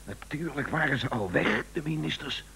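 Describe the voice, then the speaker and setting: Weary, creaky-voiced fatalistic man. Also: on the high side, expressive, moderate pace, pushed and bright, slight background noise, exaggerated voice. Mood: resigned. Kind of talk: radio play acting.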